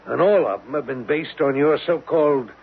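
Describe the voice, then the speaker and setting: An older American actor playing a gruff, impatient veteran oil boss in a radio drama, grumbling and blunt. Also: male voice, on the high side, moderate pace. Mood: exasperated. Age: older, around 60. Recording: dull lo-fi old radio recording, faint noise.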